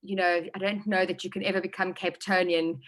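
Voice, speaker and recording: A woman's voice: lowish voice, level tone, a little fast, low-quality recording. A middle-aged woman with a South African accent answering interview questions thoughtfully, with many ums. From Johannesburg, South Africa. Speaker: novelist guest.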